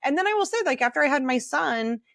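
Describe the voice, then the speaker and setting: An American woman, a podcast co-host, chatting casually and telling stories in a candid, animated way. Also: high voice, fast talker, gravelly, no room sound, studio quality. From Saint Louis, American Midwest. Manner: animated, candid storytelling with quoted dialogue and casual swearing. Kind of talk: casual chat.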